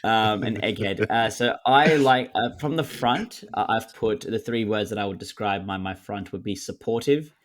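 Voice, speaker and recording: A man's voice: on the low side, normal speed, few pauses. A man in his twenties with an Australian accent, chatting casually as a guest in a hesitant, laid-back, self-correcting way. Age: 20s. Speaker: podcast guest. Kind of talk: casual conversational interview.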